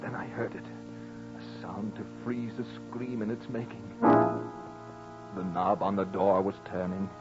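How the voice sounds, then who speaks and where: A guilt-wracked murderer telling his tale in a 1940s radio horror play. His voice sits somewhat low, with melodramatic pauses and fevered repetition. The vintage recording is muffled, with prominent music underneath. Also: flat delivery. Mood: tense, brooding. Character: guilt-ridden, panicked male murderer confessing his crime. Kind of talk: 1940s radio horror drama narration, first person.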